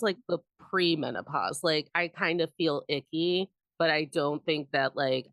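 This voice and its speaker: A podcast host with a low, lively voice that rises and falls a lot as she talks. She is a woman from Indiana who chats in a relaxed way and pokes fun at herself with frequent laughs. The recording is close and dry. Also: dry recording. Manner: chatty, laughs often, self-mocking. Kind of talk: casual chat.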